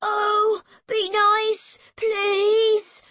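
A woman's voice: extremely high pitch, monotone, extremely slow, fairly bright tone, dry recording, pitched above the performer's own voice, full character voice. A woman voicing an anxious, urgent small boy in a children's storybook, pleading and defiant. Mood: begging.

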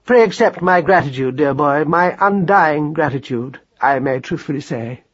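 A man performing a pompous father mouse in a storybook, long-suffering and wordy. His delivery is formal and grandiloquent, raised in pitch with a husky grain and lively swings, recorded a little dully. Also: normal speed, lo-fi sound. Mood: solemn, grateful.

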